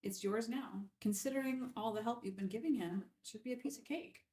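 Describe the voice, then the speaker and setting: A woman's voice: fairly high pitch, fairly quick, warm tone, lightly acted. A woman playing a tough, curt newsroom boss in a film script table read, speaking in a clipped, challenging way. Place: room at a filmed table read. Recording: roomy, distant microphone.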